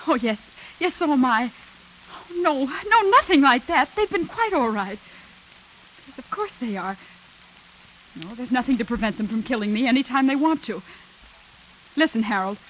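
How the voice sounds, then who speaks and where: An actress playing a guarded, brave female hostage in 1940s radio noir style, speaking earnestly with hesitant pauses. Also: high-pitched, expressive, a bit bright, low-quality recording. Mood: anxious, pleading. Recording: hissy 1940s radio recording, rain behind.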